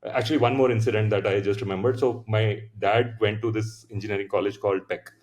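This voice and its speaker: Low-voiced Indian man, reflective and halting. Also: steady tone, darkish tone. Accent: Indian English. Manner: reflective, many fillers and restarts. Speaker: founder, podcast guest. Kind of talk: casual interview storytelling.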